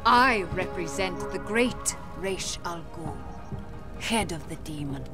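A woman playing a proud, composed envoy of an assassin order in a video game, speaking in a measured, solemn way. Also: on the low side, lively intonation, over loud music. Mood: resolute bargaining. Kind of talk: video game cutscene acting.

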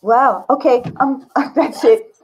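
A woman in her sixties chatting casually and expressively on a video call, with a slightly husky voice. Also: high-pitched, takes it slowly, muffled recording. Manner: expressive, exclaiming. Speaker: guest artist. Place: small indoor studio room with bookshelves.

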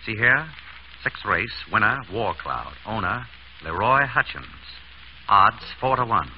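An actor playing an urbane, wry doctor turned amateur sleuth in a 1930s radio drama, suave and dryly polite. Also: male voice, fairly low pitch, expressive, unhurried. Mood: assured, explaining. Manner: suave, dry, polite questioning. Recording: scratchy, dull old radio broadcast.

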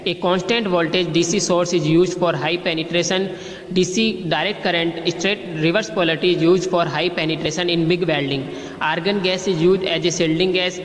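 A man with an Indian accent teaching a lecture, reading lists off slides slowly, with restarts. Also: high-pitched, fairly even intonation, reverberant, long reverb tail, low-quality recording.

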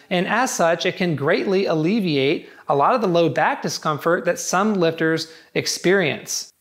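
A man's voice: sits high, a bit bright. A man giving a scripted, matter-of-fact review of home gym barbells. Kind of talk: scripted product review narration.

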